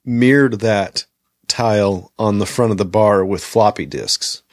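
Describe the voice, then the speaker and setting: A man's voice: fairly low pitch, measured pace, no echo, studio quality. A man hosting a casual podcast, reading news items aloud and adding his own asides. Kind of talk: casual chat.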